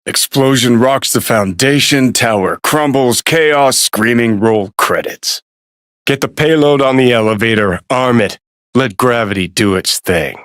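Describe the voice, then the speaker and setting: Game role: cynical rebel rocker played by a male actor, mid-pitched, unhurried, sardonic, foul-mouthed, taunting. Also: a bit bright, close to an everyday voice. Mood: cocky, determined. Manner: sardonic, profane, taunting drawl. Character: cynical, brash, rebellious rocker terrorist, adult man. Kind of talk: video game voice acting.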